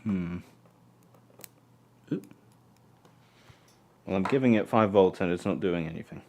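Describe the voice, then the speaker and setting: A man's voice: low-pitched, unhurried, a little mellow, slight background noise. A man, born in Poland and raised in England, chatting on a live stream while he takes apart an old camera. He hesitates, restarts his sentences and murmurs to himself as he works. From born in Poland, raised mostly in England. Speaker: electronics livestream host.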